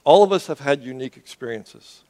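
A man's voice: medium pitch, lively intonation, fairly quick, warm tone, rough recording. A man who grew up in Cuba, preaching a sermon to a congregation in an earnest way, often restarting words and asking the audience questions. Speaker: pastor.

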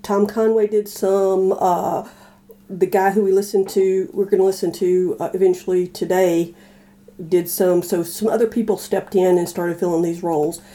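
A woman talking casually as a podcast guest, explaining things in a halting way. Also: mid-range voice, little pitch movement, warm tone. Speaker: podcast guest, old-time radio fan. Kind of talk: casual conversation.

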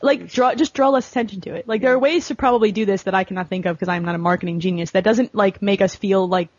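A woman's voice: medium pitch, fast talker, no echo. A woman chatting casually and bluntly on a panel, with some mild swearing. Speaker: podcast guest and female gamer. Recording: dull, lo-fi voice recording.

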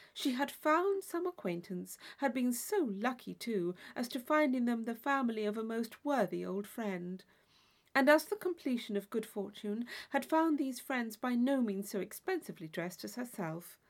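A woman reading a classic novel aloud as scripted narration, in a measured, expressive storytelling manner. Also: on the high side. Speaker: audiobook reader.

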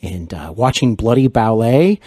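A man hosting a casual interview podcast, speaking in an effusive, complimentary, folksy way with halting, deliberate phrasing. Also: medium pitch, expressive, warm tone, no room sound, clean studio sound. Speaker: podcast host interviewing a guest.

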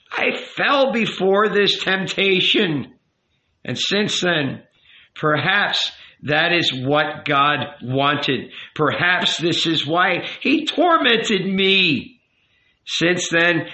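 A man's voice: fairly high pitch, pushed and bright, voice pushed up, full character voice. A man voicing an old rabbi in an audiobook, tender and earnest, coaxing and emphatic as he counsels. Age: older. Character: old rabbi uncle, tender, pleading, gently commanding confessor. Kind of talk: dramatic audiobook character reading. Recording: roomy, echoing, slightly dull sound.

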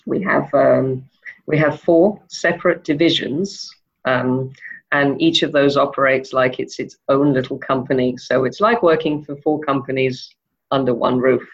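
Gravelly-voiced woman answering interview questions. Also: extremely low pitch, steady tone, unhurried, dull audio. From Denmark. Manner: thoughtful, with frequent ums and uhs. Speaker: interview guest, e-commerce manager.

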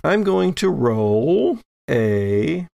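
Male game master for horror tabletop play: unhurried, gravelly, descriptive storyteller who pauses for suspense. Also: on the high side, very animated, a little mellow, dry recording.

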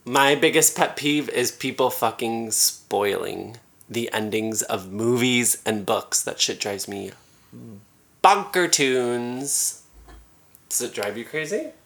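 A woman with a strikingly low voice co-hosts a comedy podcast. She talks casually and bluntly, dropping swear words and deadpan jokes. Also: slow. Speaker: podcast co-host.

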